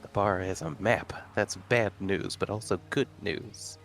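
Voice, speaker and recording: Dry, fairly low-voiced male player. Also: unhurried, a bit bright, soft background music. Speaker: tabletop game player. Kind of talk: casual in-character game talk.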